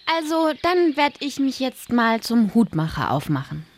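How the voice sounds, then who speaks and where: A woman's voice: a little high, sing-song, measured pace, slight background noise. A woman playing a curious, polite and slightly hesitant young girl in a fairy-tale audio drama. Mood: sceptical.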